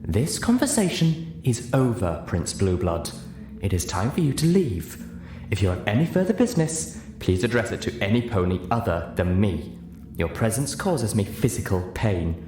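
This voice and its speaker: A man's voice: on the low side, sing-song, normal speed. A man voicing an elegant, refined female unicorn, controlled and politely disdainful, in an audiobook story. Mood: cold, firm. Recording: roomy echo, clear background hiss.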